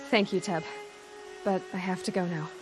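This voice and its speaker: A woman playing a determined young huntress in a video game, talking in a direct, dry, quick-witted way. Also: fairly low pitch. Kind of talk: video game cutscene acting.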